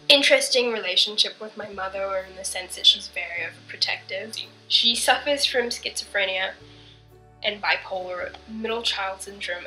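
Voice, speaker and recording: A young woman with a bright, clear voice talks openly and reflectively about her life for a documentary interview. She often sinks to a soft, near-whispered tone. Faint music plays beneath her. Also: medium pitch, low-quality recording. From born in Canada, raised in Bangladesh.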